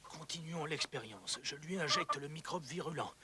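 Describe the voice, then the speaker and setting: A man playing an earnest, thoughtful scientist in a dubbed educational cartoon, musing and deliberate. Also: a little high, background noise, natural voice. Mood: amazed, intent. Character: earnest, thoughtful male scientist absorbed in his research.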